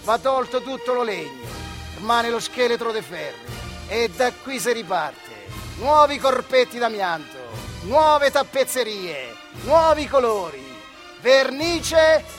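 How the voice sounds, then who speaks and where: High-voiced Marche storyteller, man, theatrical. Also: very animated, slow pace, a bit bright, soft background music. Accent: central Italian, Marche. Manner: colloquial, addresses listener directly, emphatic repetitions. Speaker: stage actor and storyteller. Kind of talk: theatrical dialect monologue storytelling.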